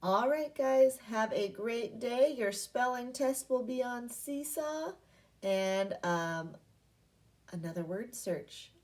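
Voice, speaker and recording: A woman's voice: a little high, animated, takes it slowly, a little mellow, far from the mic. A woman primary school teacher giving an interactive read-aloud lesson to young children, asking questions and repeating key points in an instructional way.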